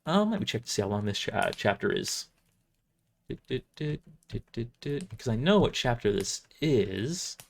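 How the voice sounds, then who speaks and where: Male livestream storyteller, mid-pitched, highly animated read of scripted narration. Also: slow, gravelly, a little hiss or hum.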